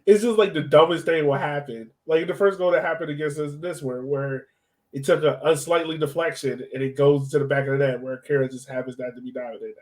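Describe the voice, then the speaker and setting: A man's voice: a little high, steady tone, a little fast, darkish tone. A man hosting a sports podcast in casual chat, with a colloquial, buddy-to-buddy way of talking.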